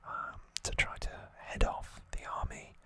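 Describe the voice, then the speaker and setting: ASMR host, fully whispered, breathy and bright, explaining things in a hushed conversational way. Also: a little slow, no room sound. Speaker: ASMR host recapping an anime series.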